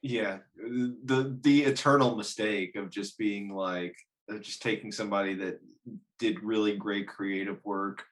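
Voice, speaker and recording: A man's voice: on the low side, steady tone, unhurried. A man hosting a casual discussion show in a wry, joking manner, often chuckling as he talks. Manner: wry, joking, chuckles through his talk. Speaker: host. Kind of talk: casual chat.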